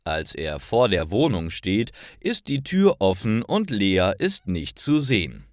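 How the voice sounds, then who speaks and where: Slow, very animated male story narrator. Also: lowish voice, no room sound, clean studio sound. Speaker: narrator of language-learning stories. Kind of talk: scripted story narration for learners.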